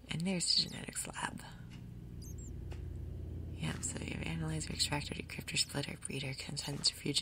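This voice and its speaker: A woman giving soft, breathy, whispered ASMR commentary on a game, murmuring her thoughts aloud in an unhurried way. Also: deep voice. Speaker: gaming video host.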